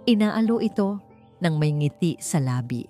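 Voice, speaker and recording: A woman reads a scripted romantic story. Her voice is low and mellow, and she phrases deliberately with dramatic pauses. Soft music plays faintly behind her. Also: lively intonation. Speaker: story narrator.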